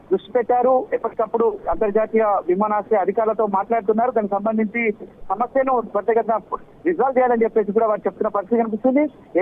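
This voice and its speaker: Phone-quality line, male news correspondent, high voice, halting unscripted live report, repetitive phrasing. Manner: halting, repetitive, hesitant delivery. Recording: muffled, band-limited live link.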